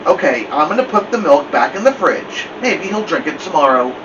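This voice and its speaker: A brisk, reasonable storybook mother, acted by a man, placating and firm, with lifted, lively pitch. The sound is distant with noise behind it. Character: brisk, reasonable mother settling her children's squabbles. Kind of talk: children's storybook character reading. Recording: distant, noisy, dull room recording.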